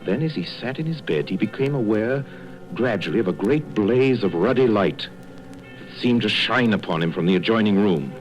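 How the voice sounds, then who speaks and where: A man narrating a vintage radio drama in a measured, wry, literary manner. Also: fairly low pitch, expressive, natural voice, rough recording. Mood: measured, suspenseful. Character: grave, wry male storybook narrator. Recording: vintage radio broadcast, faint music.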